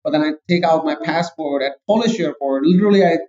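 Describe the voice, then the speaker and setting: A man with a Pakistani accent talks in an eager, rambling way and jokes about himself. His voice sits fairly high. The sound is muffled and lo-fi. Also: fast, a little mellow. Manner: eager, rambling, self-joking. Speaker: guest. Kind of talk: casual interview chat.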